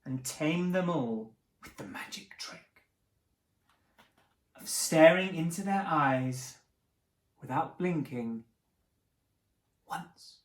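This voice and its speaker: A man hosting a picture book reading, narrating theatrically for children with dramatic pauses. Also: on the high side, animated. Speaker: host reading picture books aloud. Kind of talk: animated children's story narration. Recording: roomy, echoing sound.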